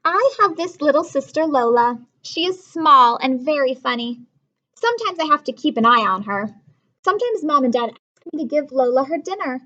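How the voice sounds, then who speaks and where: Lady teacher doing storytime for kids, high voice, explains stuff simply, slightly muffled recording. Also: expressive, normal speed, sharp tone. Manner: simple explanations, repeats for emphasis. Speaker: teacher reading aloud to children. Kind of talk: children's read-aloud lesson, explaining vocabulary.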